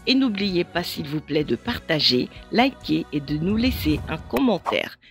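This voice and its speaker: An announcer making a loud, emphatic appeal to viewers in a short channel promo. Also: medium pitch, sing-song, measured pace, faint music behind.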